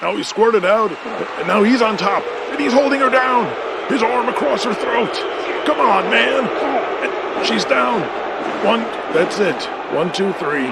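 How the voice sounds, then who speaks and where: An actor playing an excitable, easily appalled wrestling announcer, calling the action play-by-play in an audio drama. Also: male voice, very high voice, a little slow, vocal fry, a loud crowd, heavy background noise, voice pushed up, full character voice. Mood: excited. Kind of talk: 1980s wrestling play-by-play acting.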